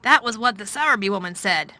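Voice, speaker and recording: A woman doing a weary, fretful gentleman. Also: medium pitch, animated, fast talker. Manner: loud, weary, distracted. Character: troubled, fretful middle-aged gentleman guardian. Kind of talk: storybook reading.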